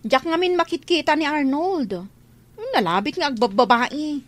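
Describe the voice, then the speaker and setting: Radio drama: nosy, brash village busybody, Ilocano accent, high animated voice, taunting delivery. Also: female voice, normal speed. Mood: gossipy. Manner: taunting, exclamatory. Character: Petra, brash, nosy gossiping village neighbour woman.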